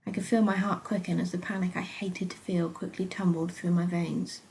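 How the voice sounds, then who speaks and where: A woman with a British accent reading her own story aloud as an expressive first-person narrator. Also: fairly low pitch, moderate pace, slight background noise, low-quality recording.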